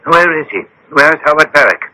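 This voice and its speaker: An actor in a radio drama playing a brusque, commanding doctor with a brisk, authoritative manner. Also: male voice, a little high, animated, very noisy, lightly acted. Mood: brusque, urgent.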